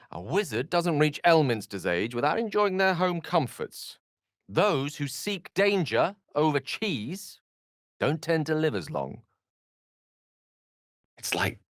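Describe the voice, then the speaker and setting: An actor playing a bookish, witty and earnest human wizard in a video game, with erudite, theatrical phrasing and a touch of melancholy. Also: male voice, medium pitch, no echo, clean studio sound. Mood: measured. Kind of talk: video game character voice lines.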